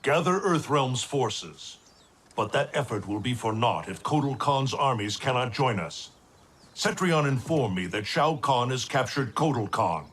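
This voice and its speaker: A man playing a wise, solemn thunder god in a video game, speaking in a grave, formal, measured way. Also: medium pitch, lively intonation, a bit bright, a little hiss or hum. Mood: grave, resolute.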